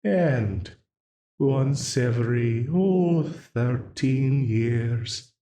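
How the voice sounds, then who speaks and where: A man voicing a mocking male archdemon in an audio roleplay. He speaks with a smooth, teasing, leisurely menace and chuckles often. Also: mid-range voice, expressive, dark tone. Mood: amused menace. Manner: slow, leisurely menace broken by frequent chuckles. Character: mocking, amused male archdemon binding a ruler to a contract. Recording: roomy echo.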